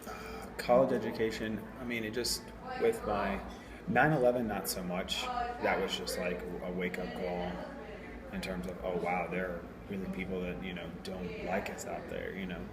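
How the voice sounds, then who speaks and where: A man's voice: deep voice, flat delivery, moderate pace. A man in his thirties from Tennessee, answering interview questions casually with many fillers and restarts. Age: 30s. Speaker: interviewee, restaurant general manager. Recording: echoey room with loud crowd noise. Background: crowd chatter.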